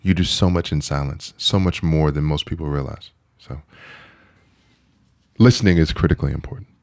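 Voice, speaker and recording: A male podcast guest reflecting in conversation. He speaks in a measured way, in complete thoughts. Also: very deep voice, a little mellow, no room sound.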